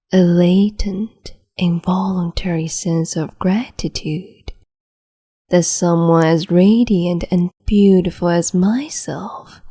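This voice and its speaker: A woman voicing a cruel, capricious empress in an ASMR roleplay, speaking slowly and haughtily in a half-whisper close to the microphone. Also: on the low side, mellow, breathy edge, no room sound, exaggerated voice.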